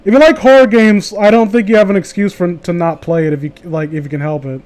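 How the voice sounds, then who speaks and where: A man with a high-pitched voice chats casually about games as a streamer. He rambles through his thoughts in loose, conversational phrasing and curses freely. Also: steady tone, fast, a little mellow. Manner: profane, rambling. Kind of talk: casual gaming chat.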